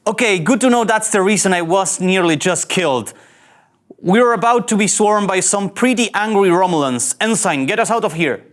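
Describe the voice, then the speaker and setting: A man's voice: high-pitched, animated, normal speed. A man at a table read playing an anxious, self-dramatizing young starship captain, hesitant and fretful. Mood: tense.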